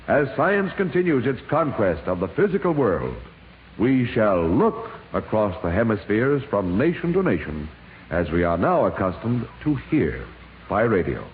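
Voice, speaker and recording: A man's voice: lowish voice, big pitch swings, phone-quality sound. Vintage radio announcer man, band-limited and echoing. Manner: formal, solemn, measured delivery. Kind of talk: scripted 1950s radio sponsor announcement. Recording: old hissy radio broadcast, reverberant hall.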